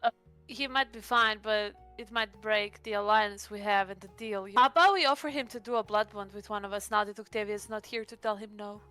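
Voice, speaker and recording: A woman's voice: medium pitch, brisk, pushed and bright, smooth. A woman playing a tabletop roleplaying game, chatting casually and describing what her character does. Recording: music behind the voice.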